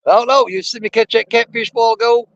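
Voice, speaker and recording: A man hosting a fishing live stream outdoors at a small lake, hoarse and losing his voice, rambling in a folksy way straight to his viewers. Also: extremely high pitch, fairly even intonation, a little fast, a bit bright, lo-fi sound. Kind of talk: casual live-stream chat.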